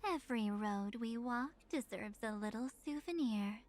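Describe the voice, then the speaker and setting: An actress voicing an enigmatic, gentle young woman from a video game, speaking in a measured, poetic and faintly teasing way. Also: a little high, crowd noise, natural voice. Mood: solemn, wistful. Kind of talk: video game character voicelines.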